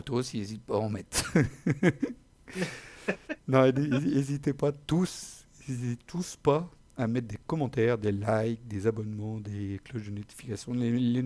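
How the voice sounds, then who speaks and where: A man's voice: mid-range voice, warm tone. A man hosting his own channel, chatting casually after a card game match, often stumbling over words. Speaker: player and channel host. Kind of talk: casual post-match chat.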